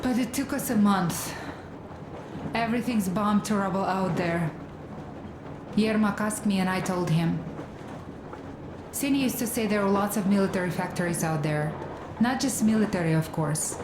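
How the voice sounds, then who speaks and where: A woman playing a weary, grieving mother in a video game cutscene, telling her story in a halting, matter-of-fact way. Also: mid-range voice, natural voice. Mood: weary, bitter. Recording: roomy sound with clear ambient noise.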